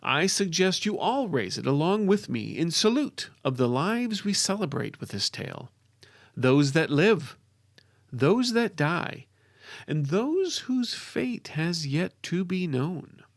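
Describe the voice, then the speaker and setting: A man's voice: on the high side, big pitch swings, takes it slowly, vocal fry, dry recording, studio quality, pitched above the performer's own voice, exaggerated voice. A man playing a wandering minstrel who tells a fantasy tale in a grandiloquent, teasing manner, putting questions to his listeners. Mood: solemn toast. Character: theatrical wandering minstrel tale-teller, playful and knowing. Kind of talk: dramatic fantasy storytelling.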